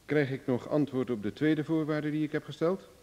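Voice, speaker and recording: Cocky, mellow-voiced male investigator, played by an actor. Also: fairly high pitch, normal speed, highs cut off, lightly acted. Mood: cool, bargaining. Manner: glib, ironic, self-assured. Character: cocky, cool-headed male private investigator, wry and bargaining. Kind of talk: Dutch radio drama acting. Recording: reverberant old radio play recording.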